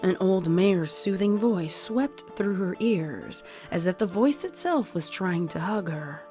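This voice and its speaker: A woman's voice: lowish voice, animated, unhurried. A woman reading scripted audiobook narration as the storyteller. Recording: music playing behind the voice. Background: soft music.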